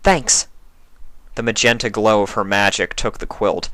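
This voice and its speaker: Male story narrator: lowish pitch, dry close recording, scripted prose reading. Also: steady tone, a little fast, pushed and bright, dry recording. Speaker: fan fiction reader.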